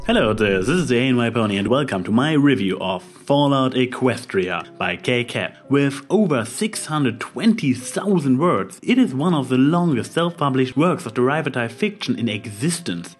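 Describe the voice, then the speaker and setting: A man hosting a review, narrating from a script. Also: mid-range voice. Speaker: host, fiction reviewer.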